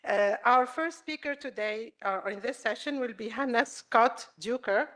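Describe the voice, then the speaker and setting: A woman's voice: a little high, unhurried, fairly bright tone. A woman chairing a conference session, introducing the speaker with many hesitant fillers. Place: conference room.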